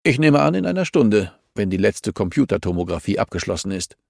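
A man's voice: fairly low pitch, very animated, fairly quick, gravelly, darkish tone, dry recording, studio quality. A man voicing a stern, reluctant male judge in an audiobook, speaking in a firm, admonishing way. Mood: matter-of-fact.

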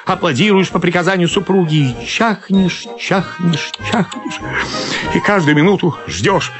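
An actor playing a harried, exasperated husband in a comic radio monologue, complaining with agitated, theatrical emphasis. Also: male voice, high-pitched. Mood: indignant, self-pitying. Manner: agitated, complaining, emphatic swoops and drawn-out words. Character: exasperated harried husband, a summer cottage commuter. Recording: studio radio play with music behind.

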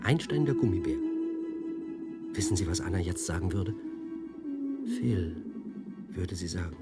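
Spöttisch grübelnder Mann, lebhafte Sprachmelodie, Musik. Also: male voice, low voice, very animated, a little slow, over loud music. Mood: brooding, sardonic. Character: mocking, brooding male research-centre press officer under interrogation. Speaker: actor in a radio play. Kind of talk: German science-fiction radio drama acting.

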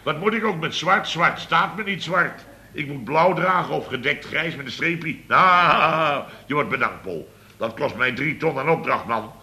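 An actor playing a blustering, sarcastic boss of a building firm in a radio comedy, sputtering with theatrical exasperation. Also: male voice, a little high, measured pace, pushed and bright, heavily acted. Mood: indignant. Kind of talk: radio comedy serial acting.

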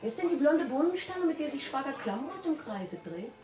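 A haughty noblewoman of a radio play, a disdainful gossip with a pointed tongue. Her pitch swings freely as she insinuates and dismisses. Faint music plays behind her. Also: sing-song, fairly quick, darkish tone. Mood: sly gossip. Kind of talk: German radio drama acting.

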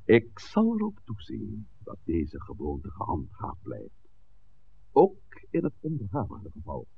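An actor playing an authoritative village priest in a 1960s radio drama, speaking in a measured, formal, admonishing way. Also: male voice, fairly low pitch, huge pitch swings, creaky, full character voice. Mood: commanding. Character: authoritative village priest, composed, stern peacemaker. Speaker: pastor. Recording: dull, noisy 1960s radio recording.

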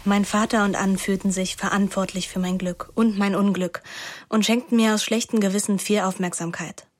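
A woman's voice: medium pitch, steady tone, normal speed, no room sound, natural voice. An actress playing a wilful, moody teenage girl who narrates her summer intimately in a radio drama. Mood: reflective. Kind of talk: first-person radio drama narration and dialogue. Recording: music behind the voice.

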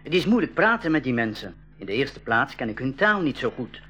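An actor playing a friendly biologist who explains things patiently in a radio play for schools. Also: male voice, mid-range voice, sing-song, a little fast, fairly bright tone, dull audio, faint music behind. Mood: mysterious, explaining. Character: William King, friendly adult male jungle biologist.

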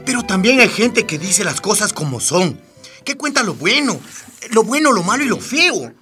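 An actor playing a brash, gossipy Ecuadorian macho in a radio soap, with slangy, cajoling speech and an Ecuadorian accent. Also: male voice, sits high, big pitch swings, moderate pace, bright, forceful tone, soft background music, exaggerated voice. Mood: conceded pride. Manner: slangy, cajoling, tag questions. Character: brash, gossipy macho Ecuadorian buddy, teasing and sweet-talking. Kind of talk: radio soap opera acting.

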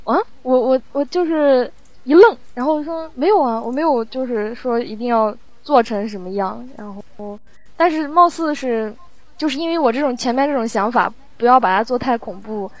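A woman interviewed in a casual chat, talking in a halting, reflective way as she explains her work. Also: fairly high pitch, no echo, poor audio. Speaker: audio drama sound producer, interview guest.

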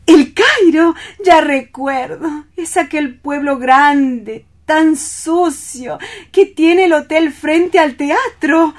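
An actress playing a flamboyant, self-important international opera diva in a radio play, with grand, emphatic delivery. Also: female voice, sits high, very slow. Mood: excited curiosity.

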